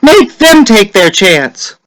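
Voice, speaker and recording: A man's voice: big pitch swings, takes it slowly, fairly bright tone, dry recording, heavily acted. A man voicing an agitated village woman, indignant and insistent, in a dramatic short story reading. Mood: indignant, protesting. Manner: shrill, complaining, insistent.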